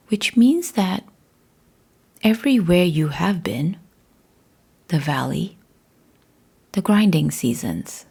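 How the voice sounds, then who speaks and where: A woman narrates a guided meditation in a slightly low, mellow voice with a breathy, husky edge. Her delivery is hushed and measured, with deliberate pauses between phrases.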